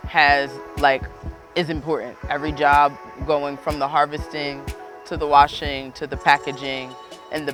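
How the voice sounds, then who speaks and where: A man, an urban farmer, speaking reflectively as if in an interview. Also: fairly high pitch. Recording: music laid under the voice.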